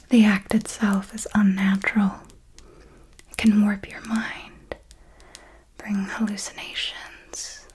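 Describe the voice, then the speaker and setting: A woman whispering an ASMR roleplay as a darkly elegant, possessive vampire mentor, telling it in a deliberate, theatrical way. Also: mid-range voice, a little mellow, slight background noise. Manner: slow, deliberate, theatrical storytelling.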